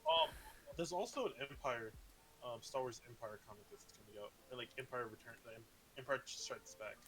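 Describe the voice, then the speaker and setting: A man's voice: medium pitch, fairly quick, fairly bright tone, poor audio. A man co-hosting a casual podcast, speaking hesitantly with many fillers. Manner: hesitant, lots of fillers and likes. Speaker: podcast co-host. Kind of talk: casual chat.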